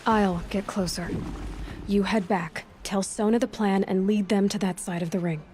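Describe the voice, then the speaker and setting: A woman's voice: mid-range voice, fairly even intonation, normal speed, noisy background, lightly acted. An actress playing a resolute young female huntress in a video game, plain-spoken and self-assured. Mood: focused, urgent. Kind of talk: naturalistic video game acting. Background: music.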